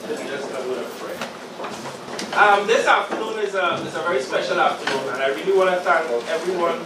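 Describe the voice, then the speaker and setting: Hoarse man at a podium in an echoey room. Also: fairly high pitch, background noise. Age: 40s. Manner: measured, halting, with many pauses and fillers. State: sore throat from a cold. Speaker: moderator. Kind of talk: opening remarks at a panel discussion. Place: small meeting room with a live audience. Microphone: podium mic, filmed from the back of the room. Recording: echoey room recorded from far off.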